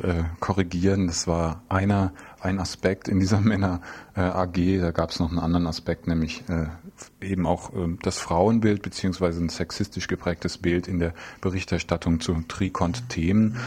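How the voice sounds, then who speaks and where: A man with a low, noticeably husky voice hosts an informal studio interview on radio. His phrasing is halting and hesitant as he feels his way through questions. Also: darkish tone.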